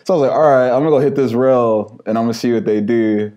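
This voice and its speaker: A young man from Los Angeles rambles through a story as a podcast guest. He fills his talk with "like" and laughs as he goes. His voice is warm and mellow, at an ordinary pitch. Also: quick pace, lo-fi sound. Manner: loose storyteller, heavy on "like", laughs as he talks. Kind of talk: casual chat.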